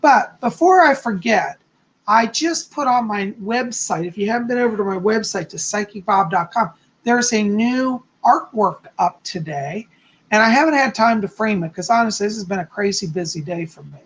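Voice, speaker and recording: A man with a hoarse, slightly raspy voice, chatting casually from home as a psychic hosting his live stream and greeting viewers effusively. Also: high-pitched, expressive, normal speed, a bit bright, rough recording. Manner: chatty, effusive, frequent "okay?" and "you know".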